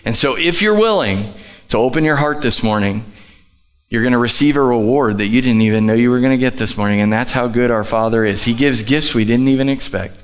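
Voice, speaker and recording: A man's voice: medium pitch, fairly quick. A man speaking earnestly as the pastor, introducing guest speakers to his church. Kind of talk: sermon. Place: church sanctuary. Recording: live church hall with long reverb.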